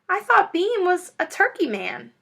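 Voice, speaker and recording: Lady doing a little boy fox, really high and swoopy, wide-eyed and eager, storybook voice. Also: very animated, a little slow, creaky, bright, forceful tone, heavily acted. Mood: puzzled. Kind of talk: children's storybook character reading.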